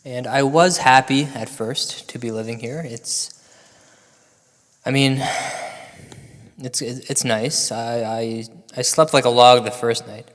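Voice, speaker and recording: A man telling a comedic ghost story as a storyteller, deadpan and hesitant, with mock-gothic dramatic pauses. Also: medium pitch. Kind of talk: slow comedic ghost-story narration, part verse recital. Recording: long hall reverb over music. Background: music.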